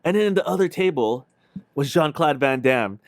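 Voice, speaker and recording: A man's voice: a little high, animated, normal speed, no room sound, studio quality. A man co-hosting a casual livestream, telling stories in a slangy, rambling way. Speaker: podcast co-host.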